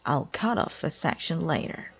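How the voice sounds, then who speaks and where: A woman voicing an eager, innocent, literal-minded woman from a children's storybook, in a bright, exclamatory way. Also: low-pitched, expressive, normal speed, muffled recording. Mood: pleased, busy.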